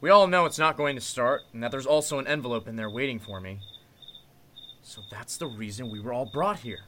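A man playing a calm, commanding lawyer in an old-time radio mystery drama, speaking in a measured way. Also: medium pitch, slight background noise, natural voice. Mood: grim. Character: calm, take-charge male lawyer carrying a gun.

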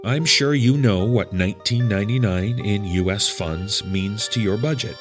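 A man with a fairly low voice speaks as a podcast host, with music clearly playing behind him. He delivers a scripted announcement in measured, articulate phrases and keeps a polite manner.

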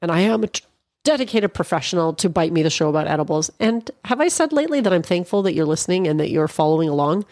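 A woman's voice: fairly low pitch, expressive, fast talker, darkish tone, no room sound, studio quality. Congested, chatty Canadian woman podcaster. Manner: chatty and rambling, with frequent restarts. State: congested, a little nasal. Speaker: podcast host. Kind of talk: casual solo podcast monologue.

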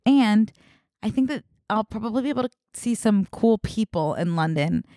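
A woman's voice: medium pitch, lively intonation, moderate pace, warm tone, dry recording, studio quality. A young woman co-hosting a podcast, chatting casually and telling a story with lots of likes and ums. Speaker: podcast co-host. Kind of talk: casual chat.

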